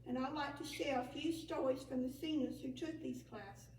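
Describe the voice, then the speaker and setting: Woman with a high voice reading speech notes, a little halting, mic far off in a roomy hall. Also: darkish tone, slight background noise. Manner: reads aloud with small slips and restarts. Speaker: senior center director. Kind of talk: reading prepared remarks at a meeting. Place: large meeting room. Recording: echoey room, distant mic, faint ambience.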